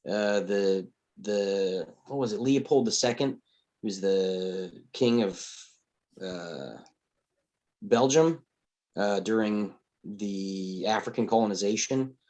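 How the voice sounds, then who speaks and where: A man's voice: lowish voice, slow, darkish tone. A man talking casually and conversationally in a group discussion. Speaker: table read participant.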